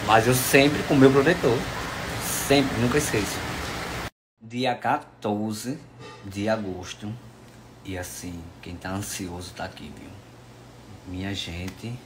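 Twenty-something guy, phone-at-arm's-length selfie chat at home, faint hiss behind. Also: medium pitch. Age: 20s. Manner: chatty, affectionate, playful. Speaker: social media influencer. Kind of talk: casual selfie Q&A. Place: indoors at home, bedroom and kitchen.

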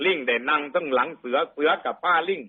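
A man's voice: fairly high pitch, fast talker, slightly rough, phone-quality sound. A man with an Isan accent telling a moral folk tale to lay listeners in a colloquial, repetitive oral style. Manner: colloquial, repeats phrases for emphasis. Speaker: Buddhist storyteller addressing lay listeners.

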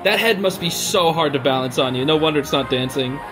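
A young man giving casual, excitable, slangy reaction commentary as a video host. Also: a little high, fairly quick. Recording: music playing behind the voice. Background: stage show music.

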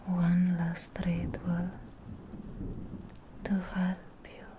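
A young woman in an ASMR fantasy roleplay as a charming, eerie witch, whispering breathily in a deliberate, soothing way, close to the mic. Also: on the low side, monotone, warm tone, slight background noise. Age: young adult. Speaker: ASMR performer.

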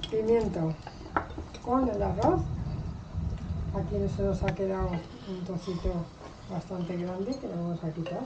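A woman's voice: mid-range voice, steady tone, a little slow, vocal fry, long pauses, distant mic, very noisy. A woman with an accent from Spain teaching a recipe in a home kitchen, patient and homely, using lots of diminutives. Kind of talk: casual cooking instruction.

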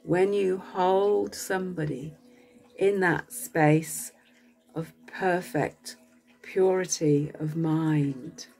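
A woman narrating a guided meditation as a coach, speaking gently in short phrases with long pauses between them. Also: lowish voice, fairly even intonation, vocal fry, rough recording.

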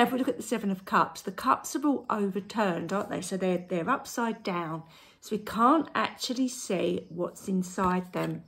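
A woman in her forties with a hoarse, croaky voice, gently talking through a tarot reading to a phone camera at home and often asking rhetorical tag questions. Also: medium pitch. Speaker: tarot reader. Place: indoor room at home. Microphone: phone camera at arm's length.

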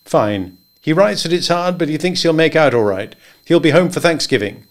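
A man playing a tired, solemn middle-aged man in an audiobook, set a little higher than the reader's own voice. He speaks quietly and wearily, heavy with duty. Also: lively intonation, normal speed. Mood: weary. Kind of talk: audiobook character voice.

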